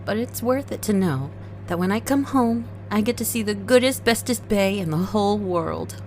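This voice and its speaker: A woman's voice: mid-range voice, animated, normal speed, a little hiss or hum, natural voice, poor audio. A woman playing a doting werewolf girlfriend in a comedic ASMR roleplay, gushing in baby talk with pet names. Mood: tender, weary. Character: doting, sugary werewolf girlfriend home tired from work.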